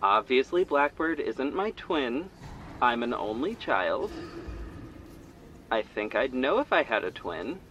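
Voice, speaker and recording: An actor playing a friendly young shopkeeper and amateur sleuth who jokes around and gets easily flustered. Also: male voice, medium pitch, slow pace, a little hiss or hum, heavily acted, rough recording. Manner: lively, joking, easily flustered.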